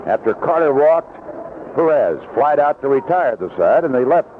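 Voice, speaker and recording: A man's voice: medium pitch, sing-song, moderate pace. A man calling live baseball play-by-play on the radio in clipped, descriptive phrases. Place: outdoor ballpark broadcast booth. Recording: noisy lo-fi 1970s AM radio broadcast.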